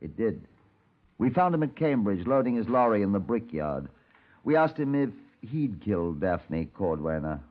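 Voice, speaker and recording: An actor playing a composed, shrewd Scotland Yard detective in a 1950s radio procedural, measured and wry. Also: male voice, lowish voice, big pitch swings. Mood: grave narration. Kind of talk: 1950s radio acting, police procedural narration. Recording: tinny old radio recording.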